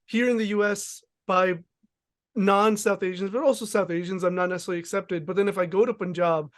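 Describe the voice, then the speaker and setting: A man's voice: high voice, steady tone, brisk. A man hosting a podcast, asking questions in a thoughtful, casual way with many fillers and hedges. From United States. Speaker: podcast host. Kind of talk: casual interview chat.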